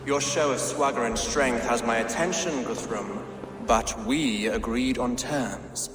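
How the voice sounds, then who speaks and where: An actor playing a calculating Saxon king who speaks in a measured, coolly menacing way, in a video game. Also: mid-range voice, echoey room, in a large hall, noisy background, natural voice. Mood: cold.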